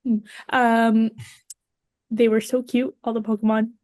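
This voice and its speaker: Higher-voiced woman in casual podcast chat. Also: flat delivery, a little slow, darkish tone, dry recording. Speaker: podcast guest.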